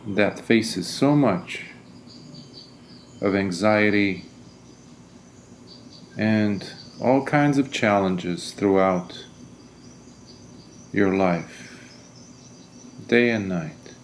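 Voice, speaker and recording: A man leading a guided meditation as a teacher, speaking in a gentle, deliberate, soothing manner. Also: fairly low pitch, slight background noise.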